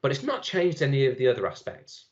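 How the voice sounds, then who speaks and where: Chatty man demoing software. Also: mid-range voice, fast talker, highs cut off. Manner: relaxed, chatty with many fillers and restarts. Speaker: conference speaker giving a software demo. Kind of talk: live screen-share demo talk.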